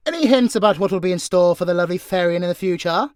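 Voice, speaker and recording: A man's voice: high-pitched, quick pace, darkish tone, clean tone, dry recording, clean studio sound. A British man answering fan questions in a casual, unscripted way, blunt and joking, with plenty of swearing. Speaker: voice actor and content creator answering fan questions.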